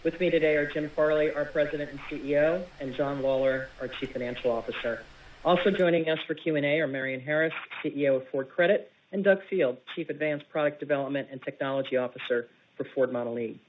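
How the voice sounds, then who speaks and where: A woman's voice: deep voice, quick pace. A woman in investor relations reading formal prepared remarks on a conference call. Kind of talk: formal scripted corporate reading. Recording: dull, faintly noisy conference call playback.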